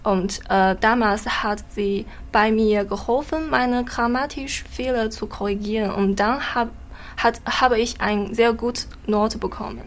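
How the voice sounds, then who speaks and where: A woman's voice: on the high side, fairly even intonation, unhurried. A young woman from China with a Chinese accent, an exchange student answering hesitantly in learner German. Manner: hesitant, self-correcting.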